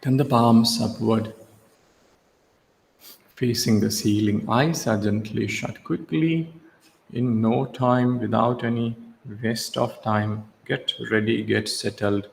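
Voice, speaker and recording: A man leading a guided relaxation as a yoga instructor, speaking in short, measured instructions with long pauses, recorded in a reverberant room. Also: mid-range voice, fairly even intonation, gravelly. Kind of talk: slow guided meditation. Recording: echoey reverberant room, faint background hiss.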